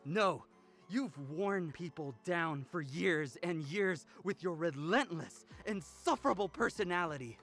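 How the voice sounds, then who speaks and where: A man's voice: high voice, animated, measured pace, a bit bright, faint music behind, full character voice. A man playing a cold, imperious young man, haughty and theatrical in his contempt, in a visual novel. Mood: gloating, bitter.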